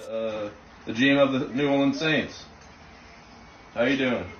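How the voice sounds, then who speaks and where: A man's voice: medium pitch, a little slow. A man making a casual phone call, posing as a team manager, in a distant, muffled, echoey clip.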